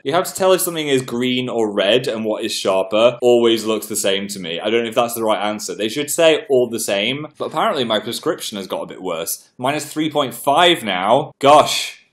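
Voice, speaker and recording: Young man livestreaming from his bedroom, bubbly, self-mocking, quick to giggle, webcam mic. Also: medium pitch, lively intonation. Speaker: livestream host. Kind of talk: casual livestream chat. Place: home bedroom.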